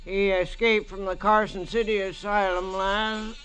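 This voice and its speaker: An actor playing a gruff, folksy small-town police sergeant in a 1950s radio drama, with a dismissive drawl. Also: male voice, sits high, level tone, a little slow, background noise.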